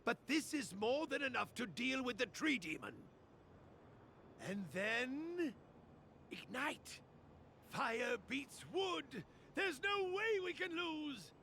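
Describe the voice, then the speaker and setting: High-pitched, theatrical, excitable inventor. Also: female voice, takes it slowly, slight background noise, exaggerated voice. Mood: proud, showy. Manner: theatrical, declaiming verse grandly. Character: eccentric, excitable genius inventor and weapon maker with theatrical flair. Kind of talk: anime-style game voice acting.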